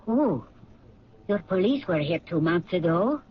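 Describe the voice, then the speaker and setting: A woman's voice: fairly low pitch, very animated, a little slow, a little hiss or hum. An actress playing a defensive female political economist in a 1970s radio drama. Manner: curt, defensive. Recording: dull lo-fi 1970s radio recording.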